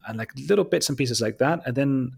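A man with a husky voice, a guest telling casual, rambling anecdotes in a conversational, informal way, often interrupting himself. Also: mid-range voice, lively intonation, a little fast. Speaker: interview guest, documentary maker.

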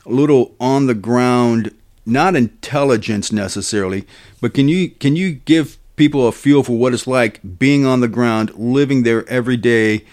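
A man's voice: medium pitch, moderate pace, a little mellow. A man hosts a podcast in a mid-range voice. He asks long, rambling questions, restarting often and padding them with fillers. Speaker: podcast host. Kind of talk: casual podcast interview.

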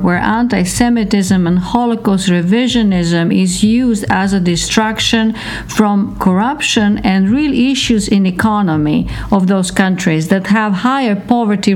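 A woman's voice: mid-range voice, a little mellow. A woman interviewing a guest in a formal manner, reading a quote aloud before posing a question. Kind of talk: interview hosting.

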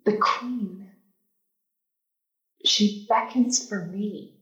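An actress playing a tormented, fearful young woman in a supernatural audio drama, speaking in halting, hushed dramatic phrases. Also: mid-range voice. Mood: entranced, morbid. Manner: halting, breathy half-whispered, dramatic delivery. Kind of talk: found-footage horror audio drama monologue. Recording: echoey, roomy sound.

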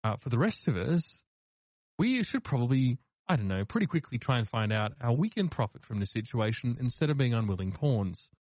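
A man's voice: mid-range voice, sing-song, fairly quick, darkish tone, no echo, low-quality recording. A man playing a tabletop game, chatting casually and stammering a lot as he talks. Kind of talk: casual chat.

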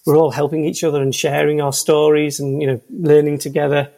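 A man's voice: fairly high pitch, level tone, a little fast, gravelly, warm tone. A man with a Liverpool accent, a guest in a casual interview, talking hesitantly with many ums and restarts. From Liverpool, England. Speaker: podcast guest, senior consultant.

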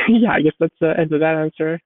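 A woman from Sweden, a software developer giving thoughtful interview answers and often restarting sentences as she looks for words. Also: sits low, fairly quick. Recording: dry, phone-like remote audio.

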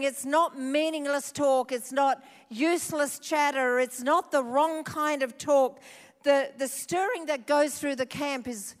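High-voiced woman preaching, slow and emphatic. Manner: emphatic, repeating phrases for effect. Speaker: pastor. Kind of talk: sermon. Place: church hall. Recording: long hall reverb.

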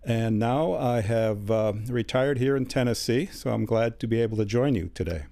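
A man in his sixties, a studio interview guest, answering in a measured way with many hesitant fillers. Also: medium pitch, mellow. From United States.

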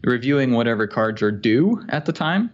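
A man's voice: mid-range voice, sing-song, normal speed, highs cut off. A man speaking as a podcast guest, explaining things in an informal, casual tech-chat way. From Sweden.

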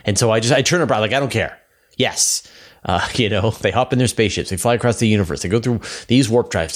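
A man with a fairly low voice hosts a casual podcast. He talks conversationally, leaning on words for emphasis and repeating them, and slips into animated asides. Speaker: podcast host.

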